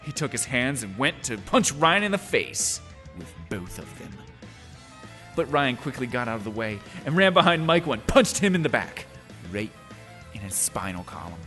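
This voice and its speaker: Male narrator for a comic dramatic reading: exaggerated, very lively, forward bright tone, light guitar underneath. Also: mid-range voice, moderate pace. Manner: theatrical, exaggerated, with ad-libbed asides. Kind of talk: dramatic comedic story reading.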